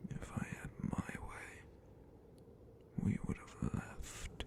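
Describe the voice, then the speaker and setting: A man voicing an ancient, sadistic dragon captain in an ASMR roleplay, menacing and condescending in a breathy, gravelly whisper with drawn-out theatrical delivery. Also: close to an everyday voice. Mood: darkly amused, possessive. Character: ancient sadistic male dragon guard captain, possessive captor.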